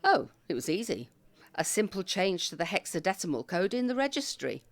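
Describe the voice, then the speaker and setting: A woman's voice: lowish voice, very animated, brisk, dry recording. An actress playing a capable, impatient retired woman who takes charge in an audio comedy, speaking. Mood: proud. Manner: decisive, impatient, commanding. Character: bossy, capable retired woman, sharp-witted care-home ringleader. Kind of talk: audio comedy drama acting.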